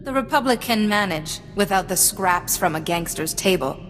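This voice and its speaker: A woman's voice: medium pitch, expressive, moderate pace, a bit bright, lightly acted. A woman playing a cool, assertive young Jedi in a video game, with a dry, sardonic manner. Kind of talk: cinematic video game dialogue. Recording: hall reverb, faint music behind.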